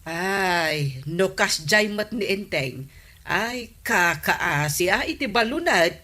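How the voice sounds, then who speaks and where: Slow, animated Ilocano gossip. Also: female voice, lowish voice, heavily acted. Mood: disapproving. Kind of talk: comedic radio drama acting.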